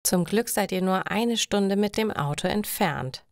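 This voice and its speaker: A woman voicing a cheerful, contented young female student in a storybook, with slow, friendly phrasing. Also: medium pitch, creaky, dry recording, clean studio sound, voice pushed up.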